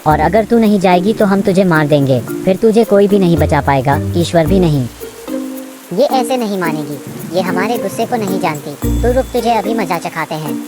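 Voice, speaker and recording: A woman's voice: fairly low pitch, animated, moderate pace, natural voice. A woman voicing a domineering, scolding, quarrelsome crow in a children's cartoon story. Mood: threatening. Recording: loud music behind.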